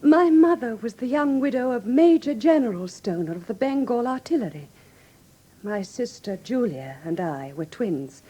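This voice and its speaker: A woman with an English received pronunciation accent playing a frightened, grieving young gentlewoman who speaks formally and earnestly in a classic radio drama. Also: fairly high pitch, big pitch swings, normal speed, breathy edge. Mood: grave, troubled. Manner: formal, earnest, precise storytelling.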